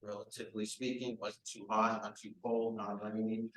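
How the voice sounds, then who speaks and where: A man with a deep, carrying voice welcoming an audience as the association's chapter president, talking loudly and chattily without a microphone. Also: level tone, normal speed. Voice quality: deep voice that carries. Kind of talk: welcoming remarks at a live event. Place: event room at a nonprofit center. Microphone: no microphone, recorded from the room. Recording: echoey room.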